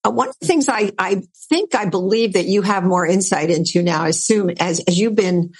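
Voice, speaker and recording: A woman's voice: medium pitch, normal speed, slightly rough. A woman hosting an interview, asking long, rambling questions in a chatty, friendly way. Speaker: podcast host. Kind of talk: casual interview chat.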